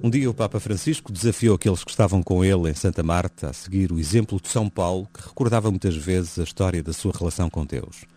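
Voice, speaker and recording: A man with a European Portuguese accent presenting a religious radio program and interviewing a guest in a measured, even announcer delivery. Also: sits low, faint music behind.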